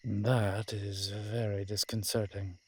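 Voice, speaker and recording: Male actor as incubus demon, lowish pitch, raspy texture, wry, flirtatious, conversational, audio drama roleplay. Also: flat delivery. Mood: uneasy, wry. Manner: dry, teasing, conversational with musing pauses.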